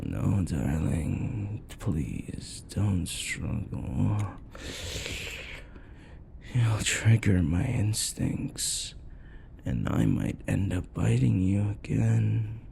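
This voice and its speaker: A man playing an unhinged, possessive yandere predator lover in ASMR roleplay, often slipping into breathy whispers and a menacing croon. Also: deep voice, dark tone, a little hiss or hum, heavily acted. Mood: hungry, possessive.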